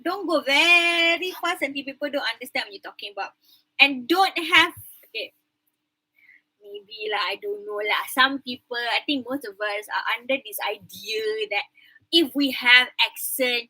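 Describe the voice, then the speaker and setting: A woman's voice: high voice, bright, forceful tone, slightly rough, low-quality recording. A woman with a Malaysian accent teaching an online English class, mixing English and Malay in a chatty, emphatic way over a computer mic. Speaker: university English lecturer. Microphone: computer mic on video call.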